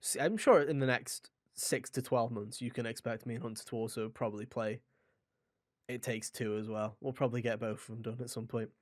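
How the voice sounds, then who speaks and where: A man's voice: medium pitch, fairly even intonation, brisk, no echo. Man chatting casually, close dry sound. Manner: enthusiastic, informal, swears. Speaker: podcast co-host. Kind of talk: casual video game podcast chat.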